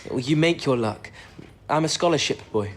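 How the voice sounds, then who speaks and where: An actor playing an earnest, modest young codebreaker, hesitant and understated, in a wartime audio drama. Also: male voice, mid-range voice, lively intonation, moderate pace, lightly acted. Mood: reticent. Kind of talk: period radio drama acting.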